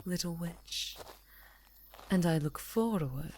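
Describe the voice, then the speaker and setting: Woman as a haughty, seductive vampire countess, slow and poised. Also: fairly low pitch, lively intonation, a little mellow, poor audio. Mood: confident. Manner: poised, purring, condescending. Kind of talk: ASMR fantasy audio roleplay.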